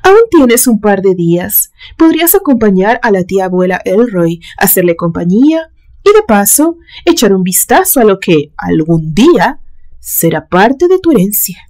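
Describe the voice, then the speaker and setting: A soft-spoken, soothing father , acted out by a woman narrator in a suspense storybook. He is gentle and measured, coaxing his grown son with patient care. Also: mid-range voice, big pitch swings. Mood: gently insistent.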